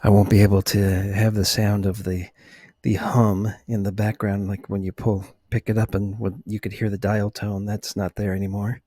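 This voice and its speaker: A middle-aged man talking softly and unhurriedly in a relaxed, reflective ASMR chat, with a slightly husky voice. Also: lowish voice, normal speed, slight background noise. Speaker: ASMR video host.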